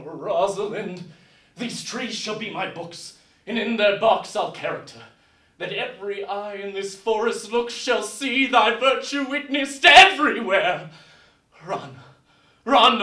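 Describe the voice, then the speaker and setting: A man's voice: high-pitched, sharp tone, heavily acted. A man playing an ardent, lovestruck young man, declaiming Shakespeare verse with fervour on stage-style terms. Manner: declamatory, fervent. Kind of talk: theatrical Shakespeare verse monologue. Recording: roomy echo, distant mic.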